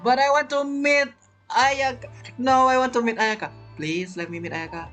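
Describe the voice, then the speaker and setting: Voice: mid-range voice, moderate pace, fairly bright tone. A streamer giving casual, dramatic gameplay commentary, reacting to the story and often repeating phrases. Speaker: streamer playing a game. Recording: game music behind.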